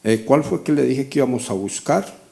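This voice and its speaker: A man's voice: mid-range voice, lively intonation, moderate pace, gravelly, warm tone. A man in his sixties preaching a conversational, teaching sermon, often checking in with short rhetorical questions. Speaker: pastor teaching a Bible class.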